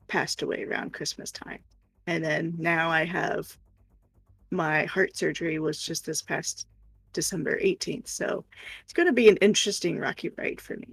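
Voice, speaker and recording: A man co-hosting a livestream, telling personal stories casually in a candid, halting way, with a husky, creaky voice. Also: sits high, dry recording. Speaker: livestream co-host.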